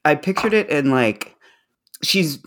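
A man chatting casually as a podcast co-host, with informal, halting phrasing. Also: a little high, lively intonation, fairly bright tone.

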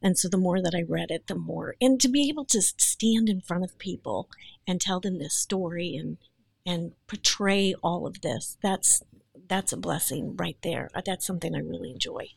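A woman, an actress, speaking in a podcast interview, animated, emphatic and earnest. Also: fairly low pitch, moderate pace, gravelly, husky, dry recording.

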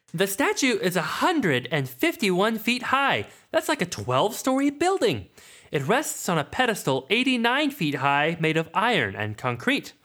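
A man co-hosting a comedy podcast, reading an adventure book aloud in a dry, deadpan way and riffing on it. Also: high-pitched, unhurried.

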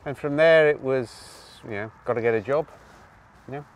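A man from Wales telling his story outdoors in an interview, animated, with quick asides and tag questions. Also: medium pitch, recorded from a distance. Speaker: guest. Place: outdoors on open hills.

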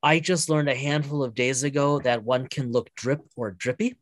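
A man's voice: mid-range voice, fairly even intonation, moderate pace, dry recording, clean studio sound. A man in his forties hosting a podcast, chatty and joking while asking long questions. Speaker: podcast host. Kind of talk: casual podcast interview.

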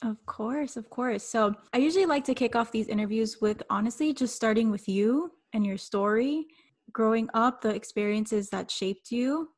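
A woman's voice: fairly high pitch, moderate pace. A woman from Miami hosting her podcast as a coach, greeting her guest with effusive enthusiasm. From Miami, Florida. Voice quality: effusive, warm praise for guest. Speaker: podcast host, mindset and business coach.

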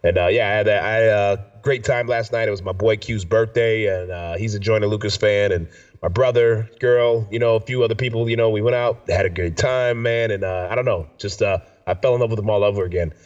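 A man's voice: lowish voice, a little fast, a little mellow. A man hosting a casual show, slangy and enthusiastic, sounding nasal and stuffed up with a cold, repeating himself for emphasis. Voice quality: nasal, congested. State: sick with a stuffy nose. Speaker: radio show and podcast host. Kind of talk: casual chat. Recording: distant mic, dull lo-fi sound.

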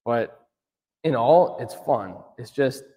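A man's voice: medium pitch, animated, slow pace, dark tone, long reverb tail. A man in his thirties, an auto detailer talking casually in an interview, full of fillers and asking "right?" a lot. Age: 30s. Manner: rambling, filler-heavy, says "right?" often. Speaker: auto detailer, business owner.

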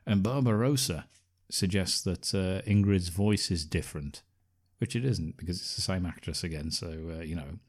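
A man's voice: sits low, normal speed. A man co-hosting a film podcast, recapping the plot with wry, sarcastic asides. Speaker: podcast co-host. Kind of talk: casual film review chat.

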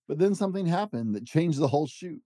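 A man reads a children's picture book aloud in a warm, mellow voice with plenty of rise and fall. His storytelling is gentle and animated, close and dry to the mic. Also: fairly high pitch, quick pace, dry recording. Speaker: podcast host and dad reading to children.

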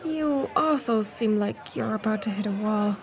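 A woman's voice: medium pitch, moderate pace, close to an everyday voice. A woman playing a playful, flirtatious mysterious stranger with a breezy, teasing manner in a fantasy audio drama. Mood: teasing, affectionate. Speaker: guest voice actor.

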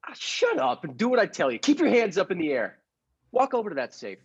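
A man's voice: sits high, wildly swooping pitch, a little fast, breathy edge, highs cut off, exaggerated voice. A man playing a meek daydreaming clerk who puts on a swaggering movie gangster, in a comic radio play. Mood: menacing swagger. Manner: stammering when meek, clipped gangster swagger when posing. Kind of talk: improv podcast performance of classic radio comedy play.

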